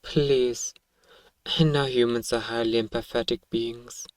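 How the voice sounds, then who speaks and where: A man acts out an earnest alien researcher in a roleplay audio drama. His voice sits at a medium male pitch, formal and measured, with a clinical curiosity. It is recorded dry, a little away from the microphone. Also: fairly even intonation, no room sound, recorded from a distance, lightly acted. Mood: earnest, matter-of-fact. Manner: formal, measured, addresses listener as "human". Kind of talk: scripted audio roleplay monologue, ASMR.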